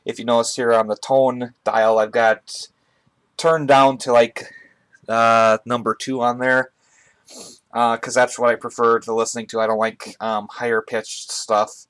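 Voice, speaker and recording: Guy doing a laid-back hobby review on a webcam, normal pitch, kinda halting and chatty. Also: flat delivery, a bit bright, smooth. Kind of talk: casual product review to camera. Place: indoors, small room at home. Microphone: webcam on a tripod, speaker off camera.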